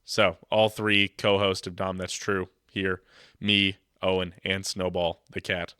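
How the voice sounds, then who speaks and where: A man's voice: low-pitched, flat delivery, a little slow, sharp tone, smooth, no echo, clean studio sound. A young man with a stuffed-up nose from a cold, hosting a casual sports podcast and rambling with jokes into his microphone. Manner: rambling, joking, loose. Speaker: podcast host.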